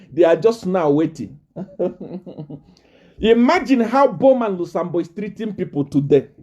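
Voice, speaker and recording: A male preacher from Zambia addresses his followers in a fiery live stream. His mellow, dark-toned voice swings wildly in pitch, and he circles back to the same words, climbing to shouts and bursts of laughter. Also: dull audio.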